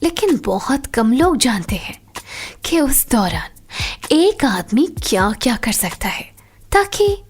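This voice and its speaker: A woman's voice: fairly high pitch, very animated, full character voice. A woman playing a seductive, patient wife who teaches in a coaxing way, in a Hindi audio drama. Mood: seductive, instructive. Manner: slow, breathy, coaxing delivery. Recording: music behind the voice.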